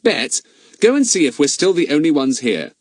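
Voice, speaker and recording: Man doing a bossy clever kid, quick orders, a bit higher than normal. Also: sing-song, no room sound, clean studio sound. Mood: brisk. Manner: brisk, commanding, a little raised. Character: clever, confident boy leader, brisk and bossy. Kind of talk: audiobook character voice.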